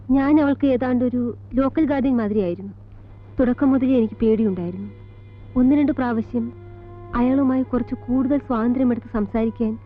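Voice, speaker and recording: An actress playing a composed middle-aged college teacher in a film drama, speaking in a measured Malayali accent with deliberate pauses between short phrases. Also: female voice, on the high side, natural voice. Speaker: actor in a film. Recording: tinny band-limited old film soundtrack, music behind.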